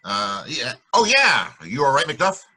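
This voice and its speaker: An actor playing a wry, wisecracking private detective in an old-time radio drama, with clipped, slangy delivery. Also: male voice, medium pitch, wildly swooping pitch, a little slow, sharp tone, breathy edge, full character voice. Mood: concerned, insistent. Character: wisecracking, hard-boiled male private detective.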